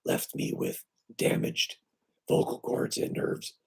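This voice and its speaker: A man with weak, breathy and raspy vocal cords, hoarse and short of breath, hosting a casual live stream from home on his computer and talking haltingly with many fillers. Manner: halting, with many fillers and restarts, lively when greeting viewers. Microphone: computer microphone.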